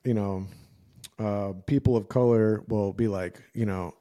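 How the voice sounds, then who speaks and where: A man's voice: on the low side, fairly even intonation, unhurried, warm tone. An American man telling personal stories in casual, rambling, slangy conversation. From United States. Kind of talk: casual chat, personal anecdotes.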